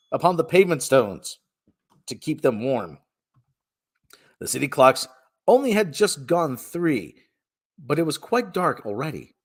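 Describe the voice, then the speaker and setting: Male narrator for a classic tale, theatrical and emphatic, mid-range voice that swings widely in pitch. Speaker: reader and streamer. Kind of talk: dramatic storybook narration.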